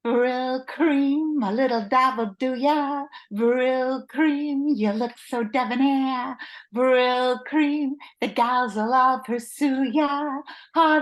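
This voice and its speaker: A woman's voice: sits high, takes it slowly. A woman playing an obliging, eager-to-please office employee, speaking expressively in a radio comedy. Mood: gushing. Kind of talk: old-time radio comedy acting.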